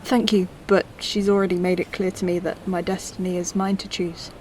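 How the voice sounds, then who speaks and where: A woman playing a courteous, curious old-model android in a sci-fi audio drama, speaking in a measured, polite way. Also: lowish voice, little pitch movement, a little mellow, slight background noise, lightly acted, low-quality recording. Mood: polite, guarded.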